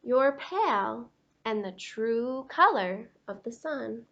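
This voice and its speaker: A woman voicing an indignant, boastful yellow crayon in a children's storybook, with emphatic, huffy delivery. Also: a little high, animated, takes it slowly, vocal fry, muffled recording, exaggerated voice. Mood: huffy, insistent. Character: indignant, boastful yellow crayon, a self-declared true sun.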